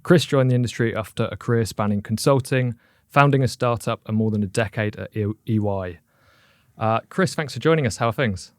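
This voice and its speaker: A man's voice: mid-range voice, a little fast, no room sound, studio quality. A man hosting a podcast interview, friendly, with short affirmations and easygoing questions. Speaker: podcast host.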